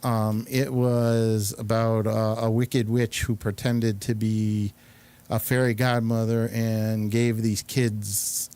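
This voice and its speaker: A man hosting a casual group chat, halting and often searching for his words. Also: mid-range voice, dark tone, smooth. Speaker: host.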